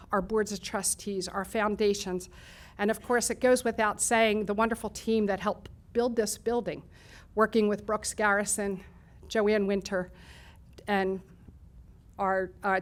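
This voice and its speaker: Woman giving formal ceremony remarks. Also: mid-range voice. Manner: formal, reads lists of thanks with some fillers and restarts. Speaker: college nursing program leader.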